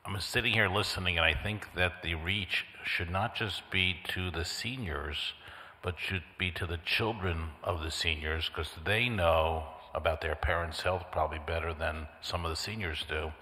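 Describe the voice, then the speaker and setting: Councilman making his point at a hearing, deep but bright voice, straight to it. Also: steady tone, moderate pace. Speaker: city councilman. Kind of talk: remarks at a public hearing. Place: city council hearing room. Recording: echoey council chamber with long reverb.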